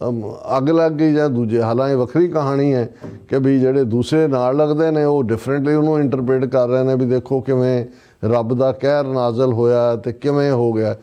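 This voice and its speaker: A man's voice: mid-range voice, fairly even intonation, brisk, dark tone, clean tone. A man with a Punjabi accent gives conversational political commentary as a talk show commentator, in a sardonic, emphatic manner.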